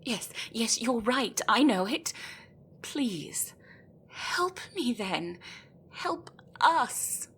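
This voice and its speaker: A woman's voice: a little high, sing-song, drawn-out words, a bit bright, a little hiss or hum, heavily acted. A woman playing an anxious, desperate female gryphon in an audio roleplay, speaking in a hesitant, deferential, pleading way.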